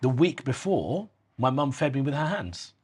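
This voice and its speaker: Husky-voiced man hosting a chat. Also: mid-range voice, very animated, moderate pace, darkish tone. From England. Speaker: host. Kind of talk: casual chat over a meal.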